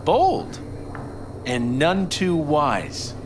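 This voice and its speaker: An actor voicing a smug, menacing customs officer with a sardonic, deliberate manner in video game dialogue. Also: male voice, on the high side, big pitch swings, background noise, heavily acted. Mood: mocking.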